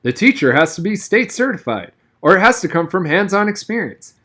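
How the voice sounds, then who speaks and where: A man voicing a wary, stern middle-school teacher in a storybook, with a sharp, guarded manner. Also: sits high, sing-song, fairly quick, pitched above the performer's own voice, heavily acted.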